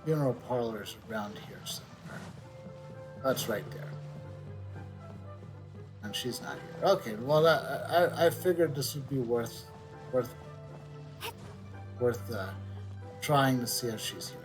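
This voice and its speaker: Slow-talking man musing over game music. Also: mid-range voice, rough recording. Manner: halting, reflective, repeats words as he thinks. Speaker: game streamer. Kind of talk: casual unscripted commentary over a video game. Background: soft video game music.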